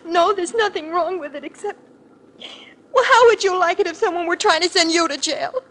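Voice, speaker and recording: A woman's voice: very high voice, normal speed, a bit bright. A woman playing a guarded, despairing fourteen-year-old orphan girl in a 1940s radio drama, sullen and plaintive. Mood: upset, despairing.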